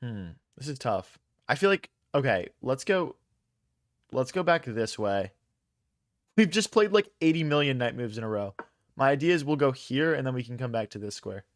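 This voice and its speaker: A man's voice: medium pitch, very animated, moderate pace, no room sound, clean studio sound. A young man from Philadelphia, streaming chess and thinking aloud in casual slang as he answers chat. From Philadelphia, USA. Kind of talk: casual live-stream commentary.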